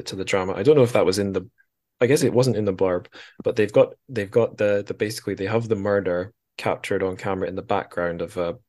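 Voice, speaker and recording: A man's voice: deep voice, darkish tone. A man hosting a podcast in a casual book discussion, chatty and wry, rambling as he goes. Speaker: podcast host.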